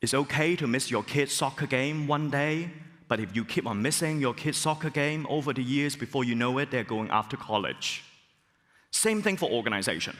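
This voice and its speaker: A middle-aged man from Hong Kong, a business school professor, giving an animated keynote talk with rhetorical questions and exclamations. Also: mid-range voice, moderate pace. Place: large conference hall. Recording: long hall reverb.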